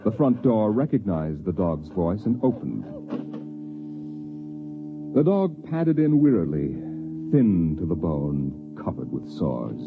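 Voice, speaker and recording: A man's voice: low voice, sing-song, vocal fry. Grave, dramatically swooping male radio narrator. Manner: grave, theatrical, deliberate phrasing. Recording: muffled vintage radio, music behind.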